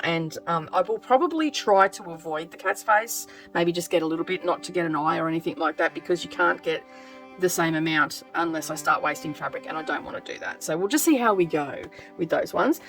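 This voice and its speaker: A woman with a croaky voice chatting casually as she sews, thinking aloud with lots of ums. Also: on the low side, fairly bright tone. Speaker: craft vlogger. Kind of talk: casual craft vlog chat.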